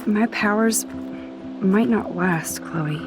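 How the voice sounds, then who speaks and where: A woman's voice: medium pitch, slow pace, music in the background, poor audio. An actress voicing a thoughtful, geeky, self-doubting teenage girl in a video game, earnest and bookish with some wry humor. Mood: relieved, gentle. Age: young adult. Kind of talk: naturalistic video game acting.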